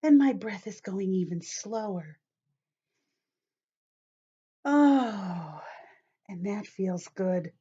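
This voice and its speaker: Gentle woman coaching kids through a calming drawing. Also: medium pitch, slightly rough. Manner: gentle, encouraging, talks directly to kids. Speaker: teacher reading to children. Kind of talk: guided calming activity for young children. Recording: dull lo-fi home video sound.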